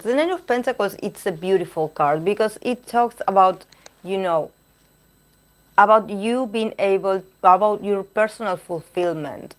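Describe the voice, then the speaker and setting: Tarot reader, woman, slow unhurried delivery, voice going hoarse, halting as she searches for words mid-reading. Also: mid-range voice. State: losing her voice. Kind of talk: live-stream tarot reading, chatting with viewers.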